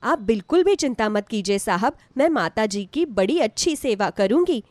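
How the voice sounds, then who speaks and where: Woman voicing a humble, earnest poor mother, high-pitched. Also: lively intonation, normal speed, a little mellow, no echo, studio quality, natural voice. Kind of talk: Hindi devotional story acting.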